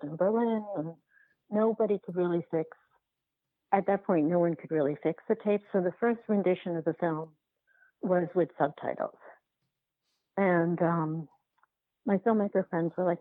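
A woman filmmaker answering interview questions in a halting, reflective way, often circling back to rephrase, over a phone-like line. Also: on the low side, no echo. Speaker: documentary filmmaker being interviewed.

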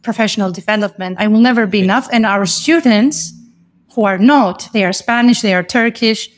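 A woman guest on a podcast, an English teacher, makes her case with steady passion. She stresses her points and repeats them for emphasis. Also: mid-range voice, moderate pace. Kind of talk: conversational interview.

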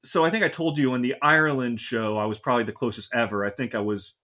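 A man's voice: mid-range voice, steady tone, fast, pushed and bright. A man co-hosting a podcast, telling a long story in a casual, rambling way and often correcting himself mid-sentence. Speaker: podcast co-host.

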